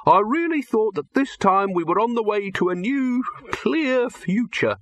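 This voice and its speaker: A man voicing a fussy, wistful male alchemist who speaks in a high, wavering, doubtful tone. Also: expressive, unhurried, a bit bright, no echo.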